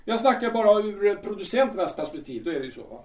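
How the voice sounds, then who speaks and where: A man's voice: high voice, sing-song, a little mellow, recorded from a distance. An actor playing a vain, boastful male stage star who rambles with many hesitations, in an audio drama. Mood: lecturing. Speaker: star singer-actor Peter. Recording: echoey room, voice often off-mic.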